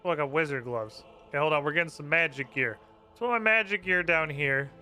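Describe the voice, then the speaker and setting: A man's voice: on the high side, fairly quick, pushed and bright, faint music behind. A man streaming a game, chatting casually, muttering to himself and swearing freely.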